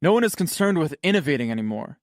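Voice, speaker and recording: A man's voice: fairly high pitch, very animated, fast talker, no echo, studio quality. A man playing a fed-up, sweary rock purist ranting indignantly in an audio drama. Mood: indignant, scornful.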